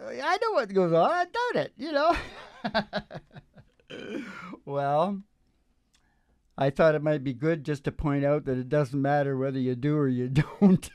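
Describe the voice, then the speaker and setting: A man's voice: on the high side, animated, unhurried, pauses often, clear, resonant tone, dry recording. A man in his sixties talking casually and jokingly on stage between songs, in a rambling conversational way, into a vocal microphone. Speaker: musician and show host. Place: small live music venue, on stage. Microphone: stage vocal microphone on a stand. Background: acoustic guitar.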